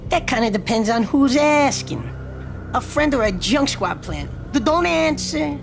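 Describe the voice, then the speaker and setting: An actor playing a cocky thug in a video game, sarcastic, with halting, drawn-out taunts. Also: male voice, extremely high pitch, lively intonation, vocal fry, over music, exaggerated voice. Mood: mocking menace.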